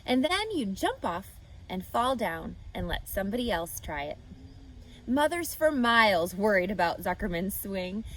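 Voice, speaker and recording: Animated woman reading a children's story. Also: mid-range voice, normal speed, a little hiss or hum, rough recording. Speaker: reader. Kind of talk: reading a children's book aloud.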